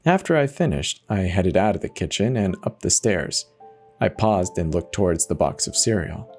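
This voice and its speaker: A man's voice: sits low, animated, moderate pace, darkish tone, faint music behind. A man giving a dramatic first-person reading of a horror story as its narrator.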